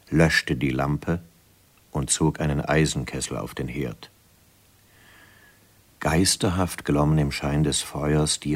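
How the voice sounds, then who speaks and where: A man narrating a radio drama as a storyteller, measured and suspenseful. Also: extremely low pitch, heavily acted.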